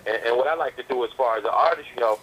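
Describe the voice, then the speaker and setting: Fast-talking male rapper on a noisy phone line. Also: medium pitch, a bit bright. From the southern United States. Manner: slangy, repeats words as he builds a point. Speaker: interview guest, indie rapper. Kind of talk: casual phone interview talk. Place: on a landline phone call. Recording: noisy landline phone call.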